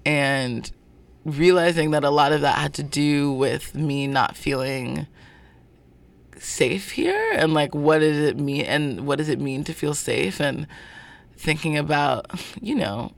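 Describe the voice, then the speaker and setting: A man being interviewed as a guest about his work, speaking in a thoughtful, searching way with many pauses and fillers. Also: fairly high pitch, animated, gravelly, dry recording. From born in North Carolina, family from Zimbabwe. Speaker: guest, performer of a show. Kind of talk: reflective interview talk.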